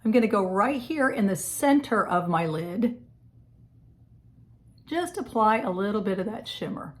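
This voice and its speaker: Woman, hoarse, scratchy from a cold, chatty makeup tutorial, home office. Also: mid-range voice, very animated, measured pace. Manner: chatty, friendly step-by-step explaining. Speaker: makeup vlogger.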